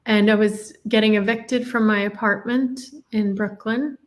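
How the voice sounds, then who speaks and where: A woman's voice: mid-range voice, fairly even intonation, a little slow. A woman from Ireland, telling her life story in an earnest, reflective way as an interview guest. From Northern Ireland.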